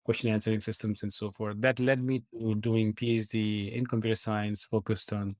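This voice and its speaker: A man's voice: fairly low pitch, flat delivery, normal speed, a little mellow, dry recording, clean studio sound. A man in his forties from Nepal, a company founder, giving earnest, explanatory interview answers with some fillers and restarts.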